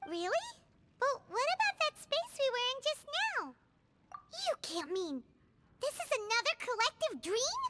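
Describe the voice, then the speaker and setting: A woman voicing a tiny, excitable female companion who speaks of herself in the third person. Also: very high voice, measured pace, full character voice. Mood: puzzled. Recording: game audio with loud background noise.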